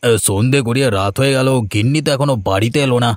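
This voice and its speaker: A man's voice: medium pitch, rapid-fire, no room sound, studio quality, lightly acted. A man playing an anxious, placating poor farmer husband in a cartoon, speaking in a pleading, plaintive way. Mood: worried, placating. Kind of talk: cartoon voice acting. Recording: music behind the voice.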